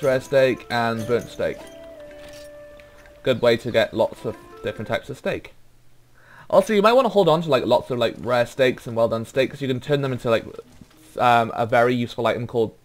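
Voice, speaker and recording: A young Welsh man giving rambling, laid-back let's play commentary, trailing off with ums as he goes through menus. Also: mid-range voice. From Wales. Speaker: gaming YouTuber.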